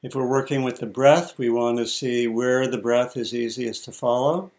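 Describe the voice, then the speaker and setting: A man teaching meditation, giving guided instructions in short, measured phrases with deliberate pauses between them. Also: medium pitch, steady tone, a little mellow.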